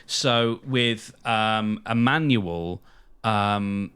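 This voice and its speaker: Man, slightly low pitch, podcast co-host, casual conversation, hesitant mid-sentence pauses. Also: fairly bright tone, clear, resonant tone. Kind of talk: casual chat.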